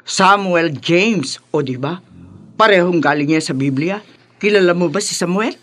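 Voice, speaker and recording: A woman's voice: on the low side, normal speed, dry recording, studio quality, close to an everyday voice. An actress playing an older Filipina mother-in-law in a radio drama, chatty, pious, preachy and nagging. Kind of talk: Tagalog radio drama acting.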